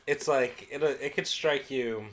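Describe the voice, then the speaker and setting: A man's voice: mid-range voice, unhurried, a bit bright. A man co-hosting a comedy podcast, joking in casual banter with a dumb, froggy voice and frequent laughter. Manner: self-described dumb froggy voice, laughs often. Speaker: podcast co-host.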